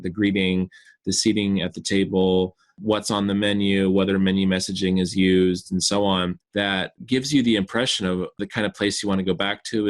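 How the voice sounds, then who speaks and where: A man speaking as a podcast host, giving articulate, explanatory commentary in an interview. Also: sits low.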